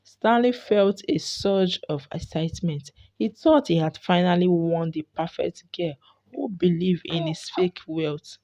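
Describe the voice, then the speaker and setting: Mellow, lower-pitched female storyteller. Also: unhurried, lo-fi sound. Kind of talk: scripted folktale narration.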